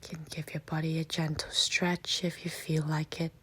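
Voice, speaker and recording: A woman leading a guided meditation, giving soft, breathy, gentle instructions with long pauses between short phrases. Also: low voice, flat delivery. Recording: faint outdoor nature ambience. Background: faint nature ambience.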